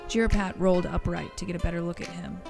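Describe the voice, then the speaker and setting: Woman, scripted story narration, lowish pitch, gravelly fry, clear music behind. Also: steady tone, quick pace, a little mellow.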